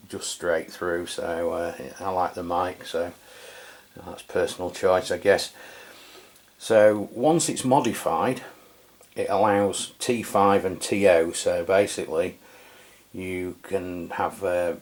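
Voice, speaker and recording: Low-voiced man reviewing a radio. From England. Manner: relaxed and chatty, with frequent fillers and self-corrections. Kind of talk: casual product review walkthrough.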